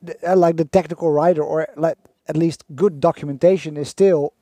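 Man, podcast co-host, fairly high voice, mellow tone, halting casual speech. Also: fairly even intonation, dry recording. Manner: halting, lots of fillers and restarts. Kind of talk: conversational podcast chat.

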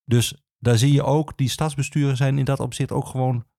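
Male expert guest: dark, soft-edged delivery, very dry close sound, halting explanatory phrasing. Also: medium pitch, steady tone, gravelly, no room sound. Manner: halting, hesitant phrasing with self-corrections. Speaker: archivist, podcast guest. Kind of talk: explanatory interview talk on history.

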